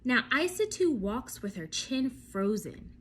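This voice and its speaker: A woman with a fairly high voice reads a story for children. Her delivery is slow and very animated, and she speaks straight to her young listeners with little questions. A light background noise sits behind her. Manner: expressive, addresses young listeners directly with questions. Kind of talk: read-aloud bedtime story for children, with asides to listeners.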